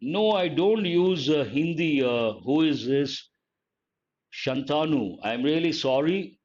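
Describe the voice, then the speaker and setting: An older man with an Indian English accent teaches an online English grammar class, calling on students by name, asking questions and repeating the key points slowly. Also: fairly high pitch, expressive, a little mellow. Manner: addresses students by name, asks and answers his own questions. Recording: dull lo-fi audio.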